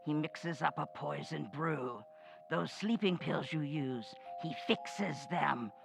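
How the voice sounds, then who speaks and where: Gravelly, slow, sinister undead crone. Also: female voice, low voice, background noise, full character voice. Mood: urgent, commanding. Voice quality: gravelly, husky croak. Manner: slow, commanding, menacing. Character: sinister undead old woman hungry for living blood. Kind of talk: old-time radio horror acting.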